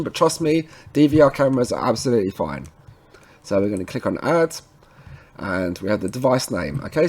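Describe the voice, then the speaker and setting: Male tutorial presenter, mid-pitched, informal and reassuring, talking the viewer through steps with frequent check-ins. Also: a little mellow. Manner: informal, reassuring, often checks in with tag words. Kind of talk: casual screen-recorded how-to tutorial.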